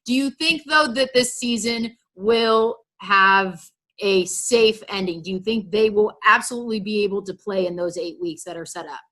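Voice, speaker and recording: A woman's voice: mid-range voice, normal speed, bright, forceful tone. A woman news anchor interviewing a guest in a conversational broadcast style.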